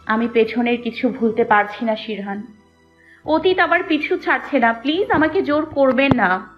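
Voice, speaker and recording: A woman's voice: a little high, animated, normal speed, lightly acted. A woman playing a wronged, anguished and defiant young mother in an emotional romantic audio drama. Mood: tearful pleading. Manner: emotional, pleading and accusing delivery. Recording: muffled audio with music behind. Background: background music.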